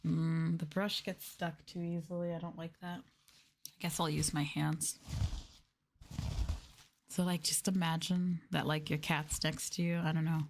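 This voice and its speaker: A woman speaking softly and intimately in a casual ASMR chat, at times dropping to a whisper. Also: deep voice, level tone, measured pace, long pauses, slight background noise. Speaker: streamer, VTuber.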